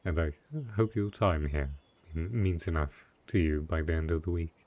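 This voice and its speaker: Man acting a deep, gentle, lonely dark knight. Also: moderate pace. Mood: tender, wistful. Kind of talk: slow, intimate fantasy audio roleplay.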